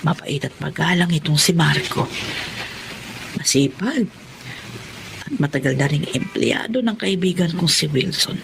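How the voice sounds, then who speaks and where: A woman's voice: deep voice, lively intonation, unhurried, creaky, pauses often, noisy background, heavily acted. A woman playing a friendly, trusting, well-off customer in a drama, with a Filipino accent.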